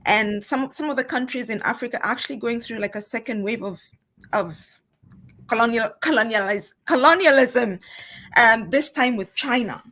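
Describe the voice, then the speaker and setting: A woman's voice: on the high side, moderate pace, bright, forceful tone, rough recording. A woman speaking as a guest in a casual conversation, feeling her way through answers and correcting herself as she goes. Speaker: guest author and journalist. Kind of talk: casual interview chat.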